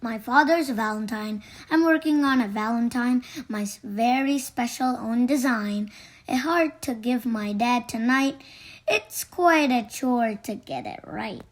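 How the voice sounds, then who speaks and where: A woman reading children's poems aloud from a storybook in a deliberate way. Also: on the high side. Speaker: storybook reader. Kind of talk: read-aloud children's poetry.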